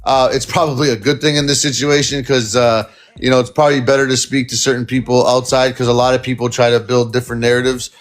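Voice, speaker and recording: A man's voice: medium pitch, flat delivery, fast. A man hosting a live stream, interviewing a caller in a casual, rambling way with many fillers and restarts.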